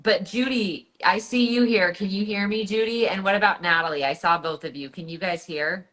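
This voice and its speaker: Woman, bright forceful voice, loud, chatty, livestream guest. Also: medium pitch, moderate pace, low-quality recording. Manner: loud, chatty, greets viewers by name. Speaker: guest coach. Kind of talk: casual livestream chat.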